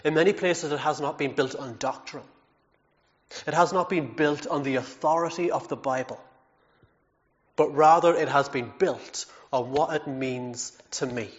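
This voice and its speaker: A man's voice: on the high side, normal speed, highs cut off. A man preaching a sermon in an earnest, emphatic way, with self-deprecating asides and frequent repeated words.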